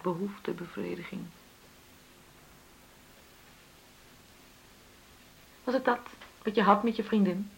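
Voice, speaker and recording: Husky, frank woman in her forties. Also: medium pitch, fairly quick, rough recording. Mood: hurt, probing. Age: 40s. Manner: direct, probing, emotional. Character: jealous, frank forty-year-old woman negotiating with her partner. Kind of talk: naturalistic radio drama dialogue.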